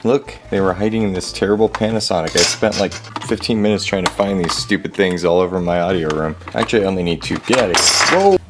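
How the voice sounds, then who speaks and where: A man's voice: sits low, fast, hardly any pauses, noisy background. A man narrating a hands-on repair vlog casually from his garage, with a jokey, sarcastic manner and a habit of talking to objects. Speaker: host of a hobby repair video. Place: home garage.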